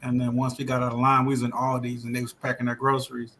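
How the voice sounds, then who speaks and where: A man's voice: medium pitch, completely flat delivery, fast, fairly bright tone, a little hiss or hum. A man hosting a casual livestream chat, telling stories in a laid-back, joking way. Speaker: livestream host.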